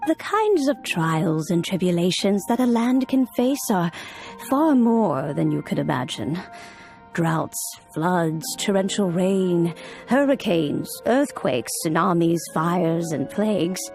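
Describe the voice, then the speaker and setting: An actress playing an ageless, wise immortal woman in a video game, telling old tales in a solemn, measured, formal way. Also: fairly low pitch, animated, darkish tone, faint music behind. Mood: solemn, nostalgic. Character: ageless immortal adeptus woman, wise, stately, nostalgic storyteller. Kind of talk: dramatic video game storytelling.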